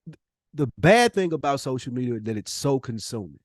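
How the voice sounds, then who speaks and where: A man's voice: mid-range voice, sing-song, brisk, dry recording, studio quality. A man co-hosting a podcast in casual, slangy debate, arguing his points emphatically and swearing freely. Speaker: podcast co-host.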